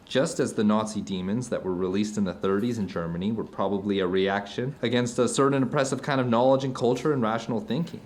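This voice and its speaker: A man's voice: on the low side, fast, few pauses, slight background noise, lightly acted. A man playing a thoughtful, philosophical friend in an audio drama, speaking in an articulate, searching, discursive way. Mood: grave, unsettled. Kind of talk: conversational audio drama acting.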